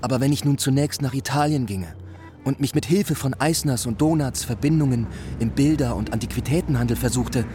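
An actor in a German radio play playing an earnest, unsettled young student who speaks pensively, as if thinking aloud. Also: male voice, mid-range voice, fairly quick, over music, natural voice.

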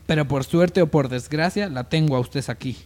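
A man playing a self-assured, dismissive wealthy heir and boss in an amateur radio soap opera, brisk and commanding. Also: a little high, a little mellow, lightly acted. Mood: businesslike.

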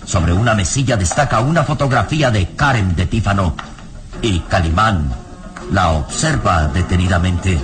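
A man narrating a scripted radio serial in a solemn, deliberate and dramatic manner. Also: sits low, lively intonation. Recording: dramatic music behind the narration.

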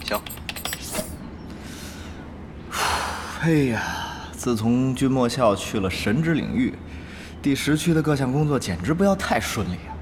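An actor playing an earnest, easily flustered guild leader in an online game, stammering and exasperated, in a Chinese radio drama. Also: male voice, mid-range voice, big pitch swings, normal speed, background noise, lightly acted. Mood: pleased.

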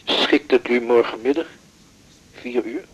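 A man's voice: mid-range voice, big pitch swings, a little slow, creaky, husky, full character voice, rough recording. An actor playing a reserved male patient, hesitant, formal and politely insistent, in a naturalistic radio drama. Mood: awkward, insistent.

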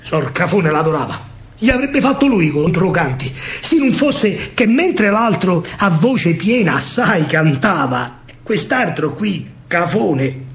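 A man with a Roman accent performing a theatrical verse monologue in dialect, expressive, dramatic and colloquial. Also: high-pitched, slow pace, gravelly. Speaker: stage actor and storyteller.

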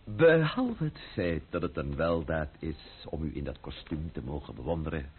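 An actor playing a proud, sardonic French count in an old-fashioned Dutch radio drama, speaking with ornate, ironic politeness. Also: male voice, deep voice, narrow, tinny audio, slight background noise. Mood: urbane, exasperated.

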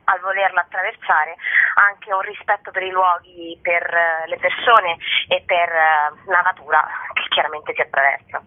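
A woman hiking guide explaining her work as a guest in a radio interview. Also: lowish voice. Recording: dull, narrow phone-like radio sound.

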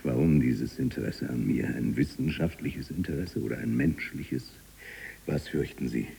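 A husky, very low-voiced man holds forth as a jailed freethinking writer in a radio drama. He is eloquent, taunting and obsessive, moving between murmur and declamation. The sound rings with long hall reverb. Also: normal speed, dark tone, exaggerated voice. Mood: mocking, taunting. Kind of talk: theatrical radio drama monologue.

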